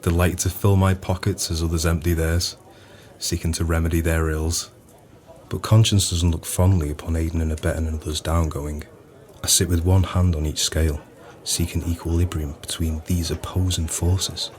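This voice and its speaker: A very deep-voiced, world-weary publican played by a male actor in an audio drama. He is earnest and philosophical, with solemn, weighty phrasing. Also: level tone, moderate pace, a little mellow, close to an everyday voice. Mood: brooding, earnest. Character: gloomy, earnest, widowed publican with a burdened conscience. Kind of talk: audio play acting.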